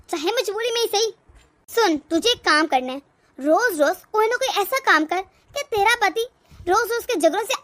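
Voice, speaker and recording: एक औरत घरेलू मेलोड्रामा कहानी में जवान पत्नी को ज़ोर देकर सलाह देती रौबीली, चालबाज़ सहेली का किरदार निभा रही है।. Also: female voice, very high-pitched, fairly bright tone. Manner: insistent, instructing. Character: bossy, scheming female friend advising a young wife. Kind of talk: melodramatic domestic story acting.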